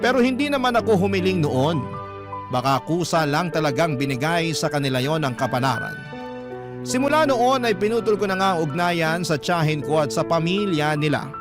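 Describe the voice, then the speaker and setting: A man's voice: on the high side, very animated, moderate pace. A man with a Filipino accent reading a letter aloud as a radio host, in a dramatic storytelling style. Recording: music bed behind narration. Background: instrumental music.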